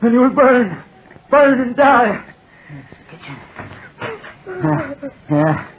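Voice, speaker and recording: Frantic high-strung madman, old radio. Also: sing-song, slow pace, a crowd in the background, exaggerated voice. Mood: manic muttering, then feverish excitement. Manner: feverish, repeating phrases obsessively. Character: deranged desperate man set on burning his tormentor to death. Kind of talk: 1940s radio acting. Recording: scratchy, tinny 1940s radio recording.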